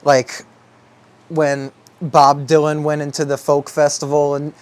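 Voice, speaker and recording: Higher-voiced young man thinking aloud. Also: monotone, measured pace, clean tone. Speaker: video essayist. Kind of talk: rambling reflective monologue.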